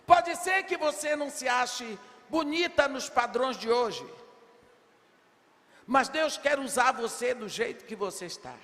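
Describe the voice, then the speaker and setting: An older woman delivers a sermon at a slow, deliberate pace, leaning on rhetorical questions and rising to shouts. Her voice rings through a big church hall with a long reverb tail. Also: sits high, expressive. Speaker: preacher at women's congress.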